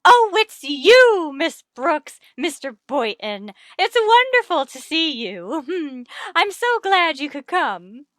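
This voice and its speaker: An actress playing a middle-aged, breezily bossy wife and gushing hostess in a vintage radio comedy. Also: female voice, high-pitched, very animated, slow, hardly any pauses, fairly bright tone, no echo, studio quality, exaggerated voice. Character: chirpy, managing middle-aged wife of the principal.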